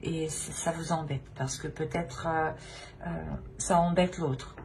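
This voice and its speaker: A woman's voice: low-pitched, fairly even intonation, unhurried, a little mellow. A woman giving an improvised tarot reading, speaking directly to the listener in hesitant, repetitive phrases. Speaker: tarot reader. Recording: clear background noise.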